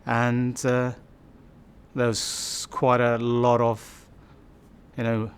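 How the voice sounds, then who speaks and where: A man's voice: mid-range voice, monotone, very slow, smooth. A man in his fifties, from Bangladesh, telling his life story in a reflective way, with many fillers and restarts. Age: 50s. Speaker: community storyteller. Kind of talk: personal life story interview.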